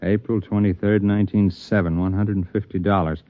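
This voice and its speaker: A low male voice in a roomy, dull old radio recording, a man acting. He is a stubborn, earnest amateur sleuth from a 1950s radio mystery, hard-boiled and insistent. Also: little pitch movement, fairly quick, close to an everyday voice. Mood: doubtful, probing. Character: earnest, stubborn bald actor turned amateur sleuth, narrating his story.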